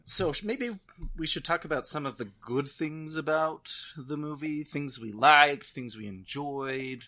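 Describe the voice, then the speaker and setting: Bright-voiced man chatting on a podcast. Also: fairly high pitch, measured pace. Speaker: podcast co-host. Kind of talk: casual chat about nightmares and horror films.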